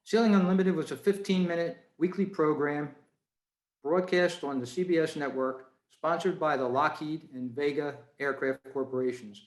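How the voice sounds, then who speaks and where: A man's voice: on the high side, steady tone, normal speed. A man presenting a prepared talk to a club over a video call, in a roomy-sounding space.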